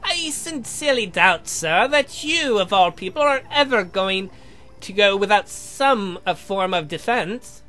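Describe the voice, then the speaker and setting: A man voicing a polite, pedantic young scientist in a visual novel, speaking formally and haltingly as he explains. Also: high voice, lively intonation, sharp tone, full character voice. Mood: deferential. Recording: music behind the voice.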